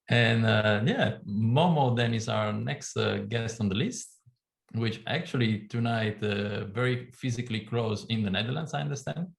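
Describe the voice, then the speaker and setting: Gravelly, hesitant man hosting a chat. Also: medium pitch, roomy sound. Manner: hesitant, halting with many fillers. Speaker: host. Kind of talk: casual video call chat.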